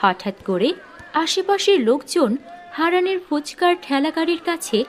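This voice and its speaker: A woman's voice: very high voice, sing-song, moderate pace. A woman reading scripted narration for a cartoon story.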